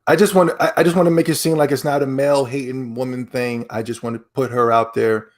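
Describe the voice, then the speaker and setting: Rambling podcast host man, mid-pitched. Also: quick pace. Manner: rambling, loose and excitable, with many repeats. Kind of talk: casual chat reacting to videos and donations.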